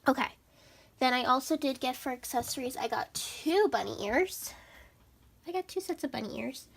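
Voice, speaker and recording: A young woman vlogger with a raspy, stuffed-up voice, chatting bubbly and casually through a shopping haul. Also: sits high, animated, normal speed. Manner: bubbly and chatty, many fillers. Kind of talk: casual haul vlog chat.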